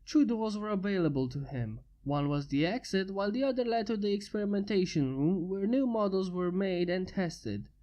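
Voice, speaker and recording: A reader narrating a fanfiction story in a steady, plain way. The delivery is even and unhurried, of middle pitch, without a performed voice. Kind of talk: fanfiction reading.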